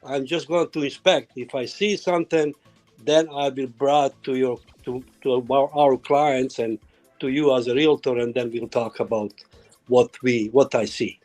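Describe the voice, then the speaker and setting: A man's voice: mid-range voice, fairly even intonation, a little mellow, soft background music. Home inspector man. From former Yugoslavia. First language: Croatian. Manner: halting non-native phrasing. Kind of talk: casual interview.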